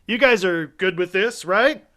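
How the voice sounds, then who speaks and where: A man's voice: sits high, a little slow, sharp tone. A man hosting a live audio play, narrating backstage in a playful, effusive, mock-suspenseful theatrical manner. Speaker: host, director and adapter of a live audio play.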